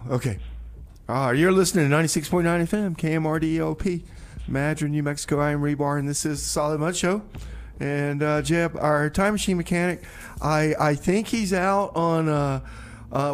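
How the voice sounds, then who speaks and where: A male radio host chats loosely from the studio, rambling and hesitant, often restarting his sentences. His voice sits a bit high for a man, with a faint noise floor behind it. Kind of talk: casual radio hosting.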